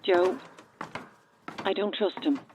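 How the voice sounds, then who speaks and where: An actress in a horror audio drama playing a worried, insistent friend, speaking firmly and urgently through a telephone filter. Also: female voice, on the low side, takes it slowly, a little hiss or hum. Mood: guarded, suspicious. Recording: thin, band-limited phone line.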